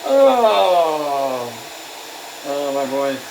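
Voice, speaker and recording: A man from San Diego with a rough, worn voice, rambling through a half-sung freestyle and chatty, swearing talk at home. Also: fairly high pitch, big pitch swings, very slow, reverberant, background noise. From San Diego, California. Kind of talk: improvised singing and casual vlog talk.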